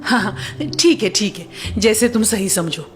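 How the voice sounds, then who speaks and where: A woman voicing a greedy, scheming middle-aged mother-in-law in a cartoon moral story, with theatrical, drawn-out exclamations. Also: mid-range voice, over music, lightly acted. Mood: gushing, greedy.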